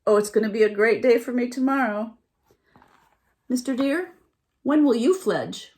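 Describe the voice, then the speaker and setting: A woman voicing an excited baby chickadee in a storybook reading, chirpy and eager. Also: sits high, a little slow, pitched above the performer's own voice.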